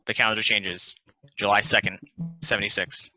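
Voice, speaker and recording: Male stage-directions reader; low but sharply forward voice, scripted narration, dry and slightly dull recording. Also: level tone, moderate pace, bright, forceful tone, dry recording. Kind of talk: scripted narration in a play table read.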